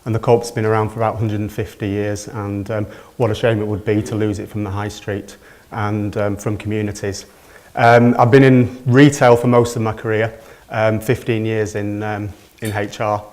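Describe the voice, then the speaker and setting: Male panelist, thirties, low even voice, halting delivery. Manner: hesitant, many filler ums. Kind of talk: conference panel talk.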